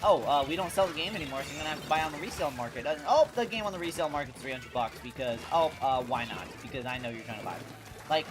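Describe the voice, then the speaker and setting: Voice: medium pitch, lively intonation, very fast. A congested livestream host chatting casually about games, slangy and sweary, often repeating and restarting phrases. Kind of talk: casual gaming livestream chat. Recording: music behind the voice. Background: music.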